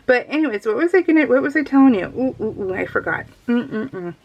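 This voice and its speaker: A woman's voice: on the high side, lively intonation, a little fast, muffled recording. A middle-aged woman chatting casually as a craft vlogger, giggly and easily sidetracked as she rambles along. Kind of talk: casual chat, rambling vlog.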